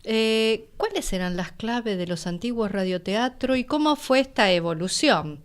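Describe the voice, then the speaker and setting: A woman's voice: fairly high pitch, animated, a little slow, clear, resonant tone. Argentine woman hosting a radio interview. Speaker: radio host. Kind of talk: conversational interviewing.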